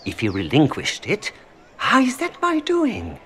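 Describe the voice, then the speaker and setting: Suave French-accented merchant, swooping intonation. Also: male voice, fairly high pitch, huge pitch swings, unhurried, a bit bright, a little hiss or hum, exaggerated voice. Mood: smooth bargaining. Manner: courtly, formal, smoothly unapologetic. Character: suave, calculating Orlesian merchant seeking noble influence. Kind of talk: video game dialogue acting.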